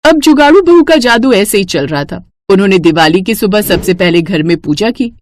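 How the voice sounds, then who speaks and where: A woman narrates a cartoon story with expressive, animated delivery. Her pitch moves a lot and sits in a middle range. The sound is dry, with no room around it. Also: normal speed, no echo.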